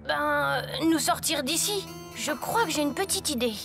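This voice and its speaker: A woman's voice: high voice, lively intonation, moderate pace, gravelly. A woman voicing an earnest, plucky young boy in a cartoon, polite and eager. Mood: determined. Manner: bright-minded, polite, eager. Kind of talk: cartoon dialogue. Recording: loud music behind.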